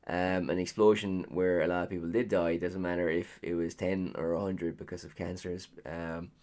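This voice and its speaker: Deep-voiced Irish man, rambling. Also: flat delivery, moderate pace, a little mellow. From Ireland. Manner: rambling, heavy on ums and uhs. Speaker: podcast co-host. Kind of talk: casual podcast chat.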